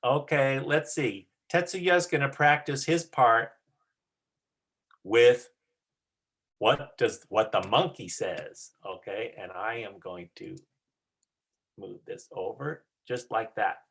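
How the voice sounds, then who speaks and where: A drama teacher giving deliberate, emphatic instruction in short phrases during an online rehearsal. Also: medium pitch, a bit bright, breathy edge. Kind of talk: instructional talk on a video call.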